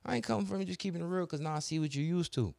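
A man's voice: on the high side, very fast, gravelly, a little mellow, no echo. A young man telling a long, profane story in casual podcast chat, acting out both sides of the arguments. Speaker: podcast co-host.

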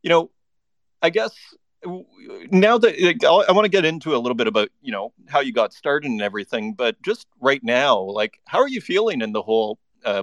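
A man's voice: mid-range voice, fairly bright tone, no echo. Man, podcast host, chatty, rambling, enthusiastic, dry close sound. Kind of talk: live audio-chat interview.

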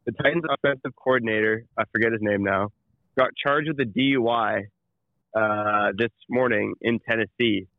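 A man's voice: lowish voice, steady tone, moderate pace, vocal fry, no echo. A man co-hosting a casual sports chat, blunt and profane. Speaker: sports podcast co-host. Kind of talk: casual sports chat over a phone line. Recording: tinny phone line.

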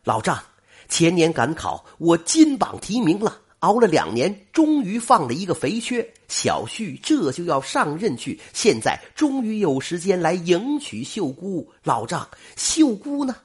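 An earnest young scholar-official, as a male storyteller puts him on, his voice lifted higher than the narrator's and pressing forward with fervent feeling. A husky grain stays under it, the sound of one teller playing every part in a folk tale. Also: huge pitch swings, measured pace, full character voice. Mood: polite.

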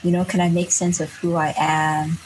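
Young woman from Singapore, low voice, chatty and reflective, faint background hiss. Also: level tone, moderate pace, darkish tone, low-quality recording. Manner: chatty, many fillers like "like", laughs often. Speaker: podcast guest. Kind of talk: reflective conversational storytelling.

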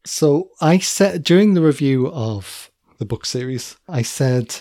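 A man's voice: on the high side, expressive, measured pace, dark tone, dry recording, clean studio sound. A man co-hosting a casual podcast with his wife, chatty and prone to repeating himself as he corrects his own slips. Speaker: podcast co-host. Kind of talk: casual chat.

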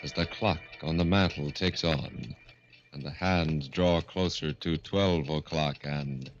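Deep ominous radio mystery announcer. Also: male voice, steady tone, slow pace, heavily acted. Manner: grave, dramatic, portentous. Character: ominous male narrator of a murder mystery. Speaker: announcer-narrator. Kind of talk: 1940s radio horror narration. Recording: muffled old radio recording, music behind.

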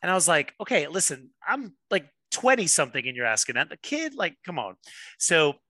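A pastor talking openly as a podcast guest, quick to laugh at himself. His voice sits on the higher side and sounds bright and forceful. The sound is close and dry, with no room around it. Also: very animated, no room sound. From Miami, USA. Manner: candid, self-deprecating, quick to laugh. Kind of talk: casual interview conversation.

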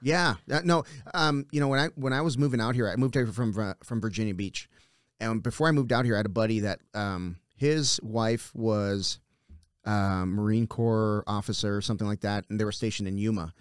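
A man's voice: fairly low pitch, fairly quick, clear, resonant tone, no echo, studio quality. A man in his fifties hosting a podcast, chatty and friendly with stammering restarts. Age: 50s. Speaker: podcast host. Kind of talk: casual interview chat.

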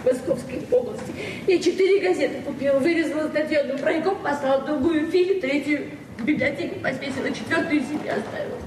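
A woman's voice: sits high, fast talker, lightly acted. An actress playing a frank, proud, hard-pressed librarian in her forties in a Soviet radio drama, with curt retorts and earnest outpourings. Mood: aggrieved, confiding. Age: 40s. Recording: noisy, distant, echoey old radio recording.

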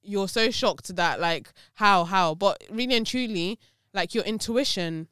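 A reflective young woman in her twenties talks things over in relaxed chat, leaning on "like" and checking in with her listener. Her voice is smooth and clear, and the room sounds dry and close. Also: medium pitch, unhurried, no echo. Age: 20s. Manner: reflective, lots of "like" and "do you know what I mean". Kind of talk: casual chat.

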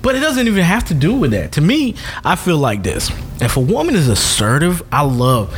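A man co-hosting a casual chat, talking bluntly and playfully, animated and swearing freely. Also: fairly high pitch, normal speed, a little hiss or hum.

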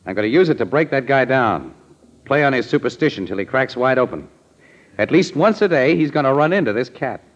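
An actor playing a brisk, slangy American newspaper crime photographer in a 1940s radio drama. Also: male voice, medium pitch, animated, natural voice. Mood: determined. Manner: colloquial, slangy. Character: brisk, wisecracking male newspaper crime photographer, hunch-driven. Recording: muffled, echoey old-time radio recording.

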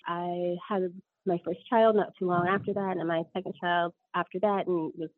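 A woman's voice: fairly low pitch, steady tone, normal speed, no room sound. Rambling woman on a tinny line. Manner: rambling, frequent ums and self-corrections, laughs often. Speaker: guest. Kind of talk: casual interview storytelling.

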